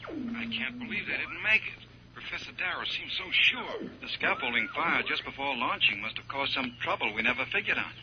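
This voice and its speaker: Very animated earnest man, old radio serial. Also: fairly high pitch, a little fast. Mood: dismayed. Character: earnest, authoritative men in a space adventure. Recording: noisy, muffled vintage radio transmission sound.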